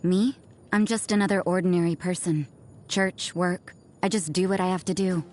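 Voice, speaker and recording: A woman voicing an aloof, cynical young nun in a video game, with a cool, languid, deadpan delivery. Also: on the low side, moderate pace, a little hiss or hum, lightly acted. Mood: languid, dry. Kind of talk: scripted game voice lines. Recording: music behind the voice.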